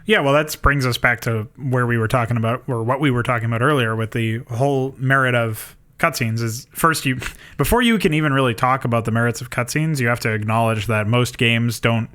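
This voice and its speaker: Bright-voiced man in analytical podcast talk. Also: medium pitch, fairly quick, few pauses. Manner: opinionated, wordy, mildly profane. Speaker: podcast co-host. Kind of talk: casual analytical gaming discussion. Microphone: mic on an online voice call.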